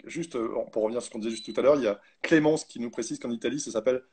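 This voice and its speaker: A man's voice: mid-range voice, rapid-fire, dark tone, breathy edge. A man hosting a casual live interview, reading viewer questions with many restarts and hesitations.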